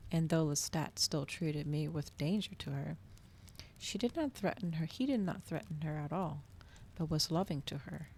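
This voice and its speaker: A woman softly reading a story aloud in ASMR style, hushed and often slipping into a breathy whisper. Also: deep voice, slight background noise. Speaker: streamer reading a novel aloud.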